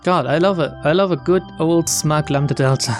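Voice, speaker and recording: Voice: mid-range voice, fairly quick. Casual game commentator over music. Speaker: let's player reading a visual novel aloud. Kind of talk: casual playthrough commentary and read-aloud narration. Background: game music behind the voice.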